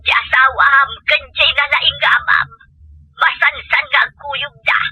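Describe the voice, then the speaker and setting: Woman acting a shrill, aggrieved Ilocano mother. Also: fairly even intonation, measured pace, bright, forceful tone, slight background noise, heavily acted, low-quality recording. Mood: aggrieved, indignant. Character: worried, aggrieved mother tattling on her gambling husband and son-in-law. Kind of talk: Ilocano comedy drama acting.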